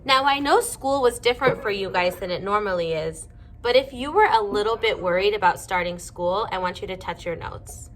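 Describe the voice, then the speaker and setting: A woman teacher reading a storybook aloud to young children, pausing to ask them simple questions. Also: mid-range voice, animated, slight background noise.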